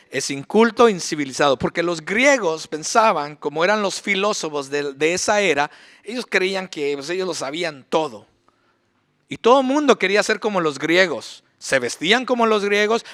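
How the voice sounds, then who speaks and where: A man's voice: high voice, a bit bright. A middle-aged male pastor preaching a sermon in a church, emphatic, with rhetorical questions. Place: church sanctuary.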